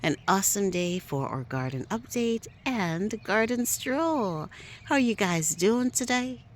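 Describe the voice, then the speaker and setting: A woman giving a casual walking tour of her garden outdoors, chatty and upbeat, a little hoarse, often asking "right?" as she films on a handheld phone. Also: medium pitch, expressive, unhurried. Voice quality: a little hoarse, clears throat. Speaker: garden vlogger.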